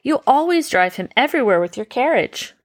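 A woman's voice: high-pitched, very animated, brisk, no room sound, pitched above the performer's own voice. A woman voicing a curious little boy in a bedtime story, full of questions and amazement. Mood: puzzled.

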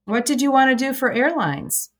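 A woman hosting an interview podcast, asking her guest clear, measured questions. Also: high-pitched, animated. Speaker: podcast host.

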